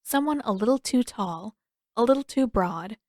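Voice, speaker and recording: A woman's voice: on the high side, lively intonation, normal speed, a little mellow, no echo, clean studio sound. A woman reading scripted fiction as an audiobook narrator.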